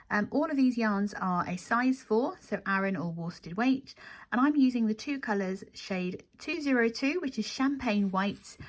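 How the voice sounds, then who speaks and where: A woman hosting a craft tutorial, explaining each step in a semi-casual, instructional way and counting as she goes. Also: fairly high pitch. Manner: explanatory, counting steps aloud. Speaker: crochet tutorial host.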